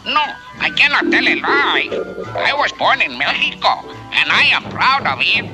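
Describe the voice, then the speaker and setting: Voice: medium pitch, sing-song, moderate pace, rough recording. An actor voicing a chirpy male parrot in a 1960s TV cartoon, repeating lines in a sing-song way. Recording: music bed under dialogue.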